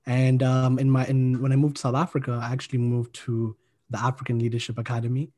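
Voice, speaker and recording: A man answering as an interview guest, explaining things in a measured, conversational way. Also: medium pitch, monotone, dark tone. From Kenya.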